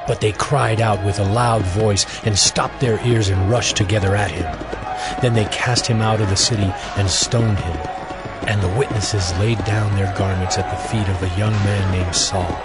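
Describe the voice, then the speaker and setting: A man's voice: on the low side, fairly even intonation, normal speed. A man giving scripted narration for a dramatized scripture audio production. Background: music.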